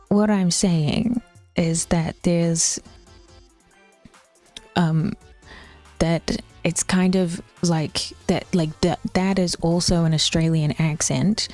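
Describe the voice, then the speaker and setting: A woman's voice: fairly low pitch, a little mellow. A young woman with an Auckland New Zealand accent chatting casually with her stream chat, rambling, swearing and sometimes dropping into whispers.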